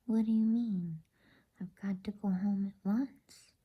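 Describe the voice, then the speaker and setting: A woman's voice: medium pitch, fairly even intonation, slow pace, breathy edge, phone-quality sound. A woman voicing a kindly, anxious little girl who pleads with concern, in a whispered bedtime storybook reading.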